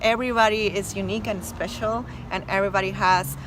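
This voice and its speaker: A woman's voice: medium pitch, steady tone, fast talker, noisy background. Earnest woman with a Mexican accent. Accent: Mexican Spanish. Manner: earnest and emphatic, with many fillers and restarts. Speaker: guest, medical doctor. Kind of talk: casual interview chat.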